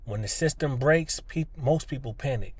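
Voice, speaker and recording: A man's voice: medium pitch, moderate pace, darkish tone, slightly rough, dry recording. A man hosting a motivational podcast in a conversational, earnest, plainspoken way, speaking directly and emphatically to the listener. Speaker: podcast host, freelancer.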